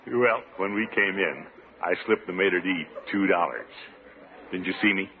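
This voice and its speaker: An actor playing a pompous, penny-pinching, middle-aged suitor who blusters and mutters asides in an old-time radio comedy. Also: male voice, low voice, moderate pace, crowd noise. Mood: self-satisfied. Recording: tinny, noisy vintage radio recording.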